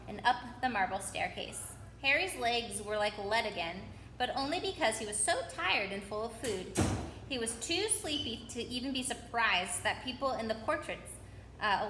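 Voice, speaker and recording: Bright, high-voiced woman reading a story aloud. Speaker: story reader. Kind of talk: reading a children's novel aloud. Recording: roomy echo with faint background noise.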